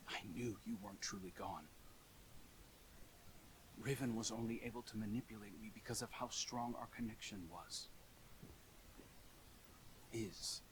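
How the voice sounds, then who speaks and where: Low-voiced, earnest, proud brother. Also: male voice, a bit bright, slight background noise, low-quality recording. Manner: earnest, measured, dramatic. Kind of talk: video game cutscene acting.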